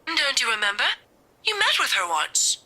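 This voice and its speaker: A woman acting as a warm, wistful middle-aged mother in a video game, affectionate and gently coaxing. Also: on the high side, sing-song, normal speed, heavily acted. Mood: fond, wistful.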